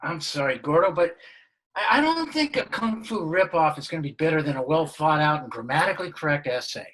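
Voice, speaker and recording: A man's voice: fairly high pitch, lively intonation, brisk, fairly bright tone, low-quality recording. A mild voice playing a puzzled suburban dad. He is well-meaning and slightly lost, in a sitcom script read on a video call. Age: middle-aged.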